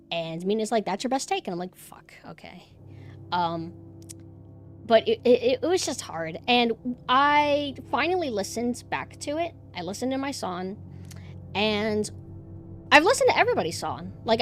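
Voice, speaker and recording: A woman streamer with a monotone voice, chatting casually and rambling with lots of fillers and restarts. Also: medium pitch. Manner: rambling, self-deprecating, many fillers and restarts. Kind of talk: casual chat.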